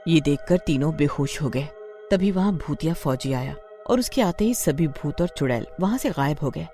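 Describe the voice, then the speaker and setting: A woman's voice: deep voice, lively intonation, normal speed, mellow, soft background music. A woman narrating a scripted horror story as a storyteller.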